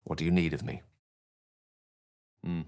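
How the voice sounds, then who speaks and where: A man's voice: a bass voice, fairly even intonation, measured pace, a little mellow, no room sound, studio quality. A man voicing an articulate, bookish human wizard in a video game, wry and gallant, with theatrical, wordy delivery. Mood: wistful, courteous. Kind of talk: video game character acting.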